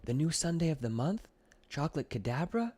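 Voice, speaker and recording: A man performs a worried young boy from a children's storybook. The voice is lifted well up in pitch. He sounds anxious and insistent, quick to protest. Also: sing-song, dark tone, husky. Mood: curious, surprised. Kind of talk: children's audiobook character voice.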